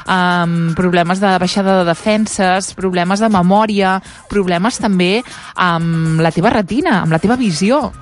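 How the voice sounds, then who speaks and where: A woman with a Catalan accent hosting a radio health show, chatting casually and laughing often. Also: fairly low pitch. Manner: bubbly, laughs often, trails off.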